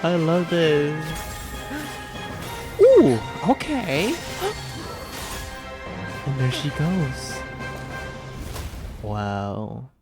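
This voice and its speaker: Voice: mid-range voice, very animated, extremely slow, pauses often, over music. A gamer giving casual playthrough commentary, reacting in a slangy, exclamatory way with gasps. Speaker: gaming video creator.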